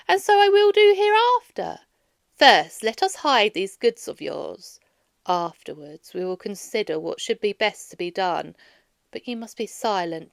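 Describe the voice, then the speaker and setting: A woman's voice: mid-range voice, clear, resonant tone, dry recording, studio quality. A woman voicing a wise, assured and teasing goddess from a children's storybook, with emphatic, instructive phrasing. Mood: commanding.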